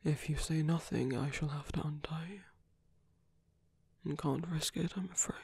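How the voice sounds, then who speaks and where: A man playing a seductive, smug villain in an intimate ASMR roleplay, whispery, slow and coaxing. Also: on the high side, fairly even intonation, creaky, darkish tone, breathy edge, dry recording. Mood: measured, courteous. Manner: slow, whispery, teasing, deliberate. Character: seductive male villain, smug, coaxing, possessive yet courteous.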